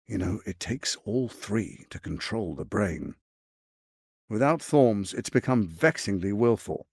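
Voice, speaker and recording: A man's voice: lowish voice, expressive, measured pace, creaky, a little mellow, slightly rough, no echo, studio quality, heavily acted. A man voicing a suave, scheming, arrogant archduke in a fantasy video game, silky, grandiose and condescending in his theatrical delivery. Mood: cold, contemptuous.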